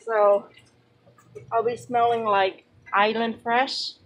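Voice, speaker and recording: A woman's voice: a little high, little pitch movement. A young woman explaining step by step how she does her laundry, filmed on a handheld phone in a small home laundry room. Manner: slow, step-by-step explaining while working. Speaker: vlogger. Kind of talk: casual how-to narration. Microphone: handheld phone camera, close. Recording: phone video with loud water noise. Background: loud running water from the washing machine.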